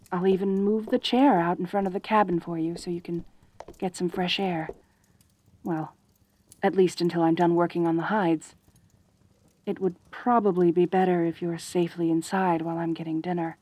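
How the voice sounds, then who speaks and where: A woman's voice: lowish voice, dark tone, close to an everyday voice. A woman voicing a lonely, possessive but caring centaur girl in a roleplay audio drama, speaking in a hesitant, stammering, earnest way. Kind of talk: roleplay ASMR audio drama, intimate one-sided dialogue.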